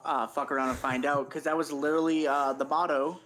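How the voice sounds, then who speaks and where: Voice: medium pitch, steady tone, fairly quick, a bit bright. A person from western Canada chatting casually and telling anecdotes. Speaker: talk show guest, former school youth worker.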